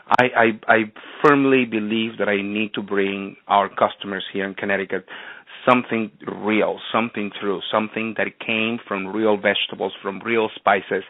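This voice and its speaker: Man from Venezuela, low-voiced chef guest, calling in over a tinny dry phone line, earnest and eager. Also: no room sound. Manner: earnest, enthusiastic, repeats words as he restarts. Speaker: chef and restaurant owner, guest. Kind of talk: phone-in radio interview.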